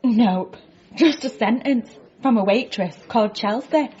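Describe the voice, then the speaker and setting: An actress playing a blunt but kindly cafe waitress in a radio comedy drama, deadpan and matter-of-fact. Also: female voice, on the high side, measured pace, dull audio, close to an everyday voice.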